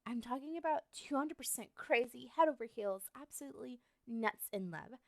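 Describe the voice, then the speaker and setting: A woman's voice: fairly high pitch, animated, normal speed, gravelly, no echo. A woman playing a lovestruck, obsessive young woman in an ASMR roleplay, talking to the listener in a coy, rambling, hesitant way. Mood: lovesick, exasperated.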